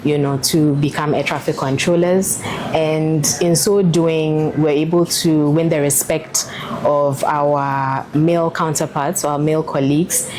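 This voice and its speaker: Low-voiced woman, earnest career interview guest. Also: steady tone, measured pace, darkish tone, slight background noise, rough recording. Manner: earnest, reflective, many fillers. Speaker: air traffic controller, guest.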